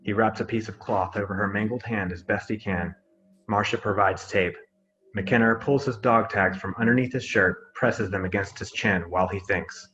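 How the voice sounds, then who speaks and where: A man's voice: lowish voice, fairly even intonation, moderate pace, fairly bright tone, dull audio, faint music behind. A man reading out scripted stage directions as narrator of a drama table read.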